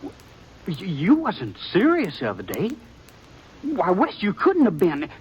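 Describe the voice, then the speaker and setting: A man's voice: high voice, wildly swooping pitch, takes it slowly, slight background noise, full character voice, rough recording. An actor in a 1950s radio western playing a nervous, stammering young townsman, heard on an old broadcast recording. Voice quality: stammering, hesitant. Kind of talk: 1950s radio drama acting.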